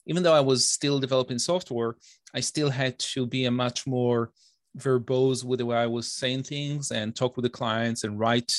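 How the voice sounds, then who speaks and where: A man's voice: medium pitch, fairly bright tone, no echo. A man in his forties with an Israeli accent, answering interview questions with many fillers and restarts. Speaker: author guest. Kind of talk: interview conversation.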